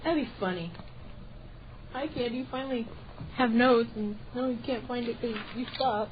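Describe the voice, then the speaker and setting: A young woman co-hosting a radio show, chatting casually with a soft, light voice and teasing, giggly banter. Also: on the high side, normal speed, dull audio, a little hiss or hum. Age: young adult. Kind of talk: casual chat. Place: small radio studio. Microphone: studio mic.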